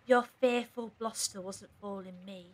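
A woman's voice: medium pitch, fairly even intonation, a little slow, lightly acted. An actress in an audio drama playing a shrewd, confrontational woman who probes and commands. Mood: accusing. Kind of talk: fan audio drama acting.

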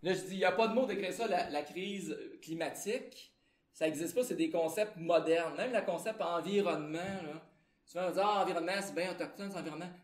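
A man's voice: on the high side, echoey room. A man with a Québécois French accent, a translator giving an informal conference talk in a loose, conversational way with many fillers.

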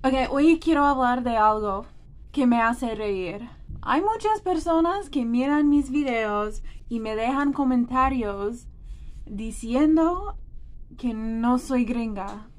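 High-pitched, unhurried American woman vlogger. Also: expressive. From United States, New Jersey. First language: English. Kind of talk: casual talk to camera. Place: small room indoors.

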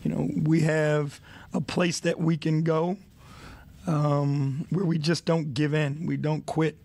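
Gravelly coach at a press desk. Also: male voice, a little high, little pitch movement, darkish tone. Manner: measured, many fillers, reflective. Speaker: head coach. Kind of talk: postgame press conference. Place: arena press room. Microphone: desk mic.

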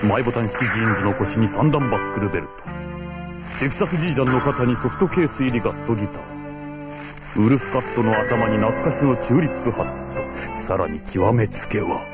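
A man's voice: medium pitch, expressive, a little fast, natural voice. A man voicing a flamboyant, self-satisfied cool guy in anime comedy, reeling off a showy recital. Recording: loud music behind anime dialogue.

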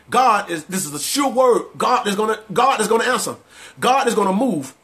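High-voiced man preaching with emphatic repetition. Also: fairly bright tone. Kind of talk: motivational sermon.

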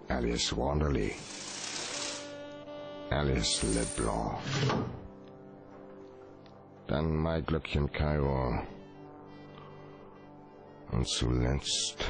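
An actor playing a hard-boiled, wry private detective in a noir radio drama, with a gravelly, laconic delivery. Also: male voice, rumbling low, full character voice. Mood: brooding, terse. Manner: laconic, dry, slow.

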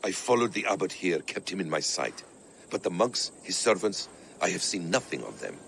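An actor playing a calm, wary warrior who speaks in a measured, formal way in a video game. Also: male voice, deep voice, vocal fry, a little hiss or hum, heavily acted. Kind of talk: dramatic video game acting.

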